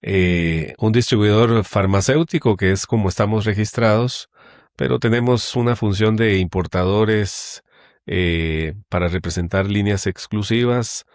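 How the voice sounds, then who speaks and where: Low-voiced man, hesitant and measured. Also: no echo, studio quality. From Guatemala. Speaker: guest, company general manager. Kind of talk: conversational interview.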